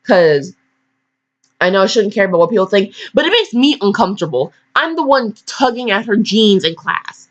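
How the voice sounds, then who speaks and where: A woman's voice: mid-range voice, lively intonation, moderate pace. A teenage girl casually reading aloud and rambling off on goofy, self-deprecating asides. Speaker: fan fiction reader. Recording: dull lo-fi microphone.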